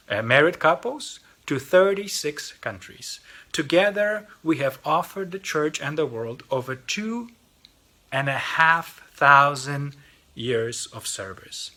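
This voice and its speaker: A man with a Polish accent makes an earnest appeal to a parish audience, raising support for missionary work. His voice is bright and a little husky, pitched slightly high for a man. He repeats words and restarts phrases as he goes. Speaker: representative of a lay missionary group. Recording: video message.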